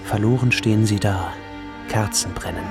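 Radio play narration: male actor as brooding screenplay reader, lowish, terse, over prominent string music. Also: close to an everyday voice. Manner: deliberate, terse stage directions. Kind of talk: scripted radio drama narration.

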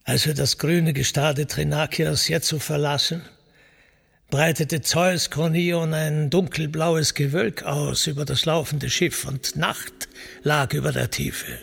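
A man's voice: on the high side, fairly even intonation, takes it slowly, vocal fry, slightly rough. A man reciting a classical epic in verse for a radio play, solemn and declamatory, as its narrator. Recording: radio production with loud music underneath. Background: loud music.

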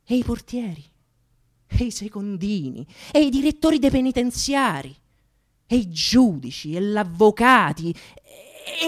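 A woman's voice: on the high side, heavily acted. A woman playing an impassioned Roman thief with a Roman accent, delivering a theatrical mock funeral oration in a declamatory, rhetorical way. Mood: indignant, rousing. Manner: declamatory, rhetorical, swinging from hushed to shouted. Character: impassioned Roman thief eulogizing a dead comrade.

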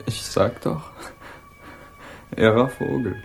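An actor playing an insolent small-time hippie in a 1960s German radio crime drama, mocking, evasive and slangy. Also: male voice, medium pitch, slow, creaky, faint music behind. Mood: sullen, taunting. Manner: drawling, taunting, slangy.

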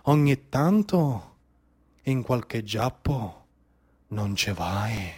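Man, Sicilian accent, theatrical monologue, very slow deliberate pace, declamatory, incantatory storytelling. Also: mid-range voice, sing-song, a little mellow, breathy edge. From Sicily, Italy. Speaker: stage storyteller, actor.

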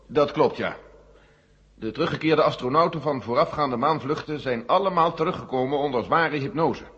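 A man acting a sober, authoritative general in a Dutch radio play, answering in a measured, formal way. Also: a little high, fairly bright tone, lightly acted. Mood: grave, frank. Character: frank, sober American general leading a space agency. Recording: dull 1970 radio recording, echoing hall.

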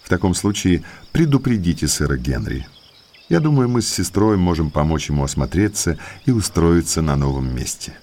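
An actor playing a genial, bookish naturalist in an audio drama, courteous, talkative and polished. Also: male voice, low-pitched, sing-song, moderate pace, dark tone, exaggerated voice. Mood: sociable, courteous. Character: genial bookish male naturalist neighbour, eagerly sociable.

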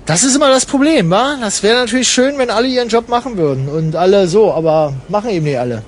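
A man playing a bored, contrary travel companion, deadpan and colloquial, in a conversational radio play. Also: high voice, fast.

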